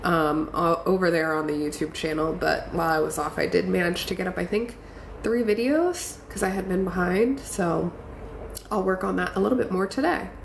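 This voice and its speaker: A woman's voice: lowish voice, normal speed, gravelly. Congested woman coaching on a live stream. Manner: encouraging, affirming, chatty with fillers. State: congested from a cold. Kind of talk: casual live-stream talk reading viewer comments. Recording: echoey room with faint ambient noise.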